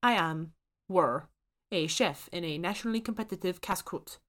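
A woman's voice: fairly low pitch, normal speed, fairly bright tone, lightly acted. A fussy, proud French chef's ghost speaks with a thick French accent and lofty indignation, done by a woman narrator. The voice sits a little above the reader's own, with grand, formal phrasing. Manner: haughty, indignant.

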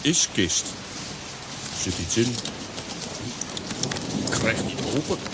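A man acting a gruff, simple-minded strongman in a children's radio play, speaking in clipped phrases without articles. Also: on the low side, big pitch swings, very slow, background noise, full character voice. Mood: placid, matter-of-fact. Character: slow-witted gruff strongman, simple and placid.

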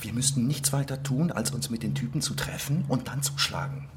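An actor playing a sober, analytical police investigator in a radio drama, measured and matter-of-fact. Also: male voice, mid-range voice, animated, background noise, natural voice. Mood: determined. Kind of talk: naturalistic radio drama acting.

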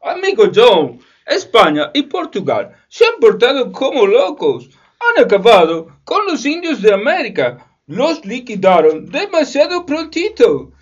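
A performer plays a scheming English gentleman full of scorn in a comic radio drama. The voice whines and swoops theatrically at a slow pace, on a slightly muffled recording. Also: medium pitch, huge pitch swings. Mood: scornful. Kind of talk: comic community radio play acting.

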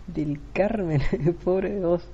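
Casting: man as wry young male prefect, deadpan, very mellow dark tone, amateur radio play, dull recording. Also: high voice, takes it slowly, husky, heavily acted. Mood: teasing. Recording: dull, faintly noisy home-made recording.

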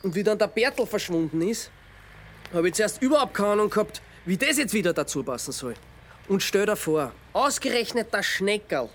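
An actor playing an earnest, insistent amateur detective in a radio play. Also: male voice, high-pitched, animated, normal speed, a bit bright. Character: amateur sleuth man, dogged and earnest.

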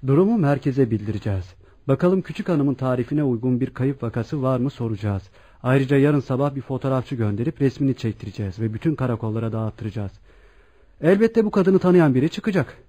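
An inquisitive male police officer played by an actor in a radio drama. His tone is mellow with a husky edge. He speaks formally and officially. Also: mid-range voice, animated, a little fast, close to an everyday voice. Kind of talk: Turkish radio theatre acting.

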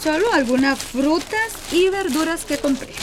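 An actress playing a warm, fussing mother in a radio drama, with a Costa Rican accent. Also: sits high, expressive, normal speed, background noise. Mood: bustling, affectionate.

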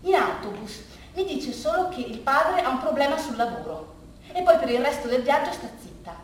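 A woman performing a theatrical first-person storytelling monologue, halting and conversational, repeating phrases as she goes. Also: high voice, big pitch swings. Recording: distant, very echoey room, faint noise.

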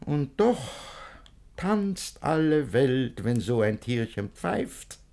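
An actor playing a jealous, possessive older guardian in a classic radio play, declaiming verse theatrically. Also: male voice, mid-range voice, big pitch swings, slow, vocal fry, warm tone, heavily acted. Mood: resigned, lovesick.

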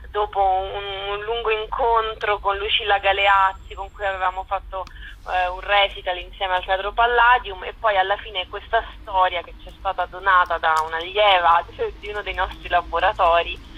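A woman's voice: medium pitch, sharp tone, rough recording. A woman, a theatre maker, answering interview questions over the telephone in a hesitant way, searching for words and repeating phrases. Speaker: guest, theatre author and actress.

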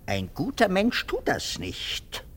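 A man's voice: high-pitched, wildly swooping pitch, slow, a bit bright, breathy edge, exaggerated voice. A man playing a pompous, anxious, condescending army captain in a theatrical, patronizing way in a 1950s radio drama. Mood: moralizing. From Frankfurt am Main, Germany. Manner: theatrical, patronizing, moralizing, swinging from murmur to squeal.

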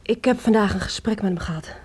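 Worried mother, husky breathy voice, soft dark tone, earnest, radio play. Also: mid-range voice, quick pace. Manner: polite, earnest. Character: worried mother, a married housewife. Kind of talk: radio drama acting.

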